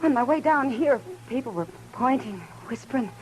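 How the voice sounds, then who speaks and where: Desperate pleading widow, high voice, old-time radio drama. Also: female voice, expressive, normal speed, a little hiss or hum, rough recording. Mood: anxious. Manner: pleading, urgent. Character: distressed young widow fearing gossip. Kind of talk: 1950s radio drama acting.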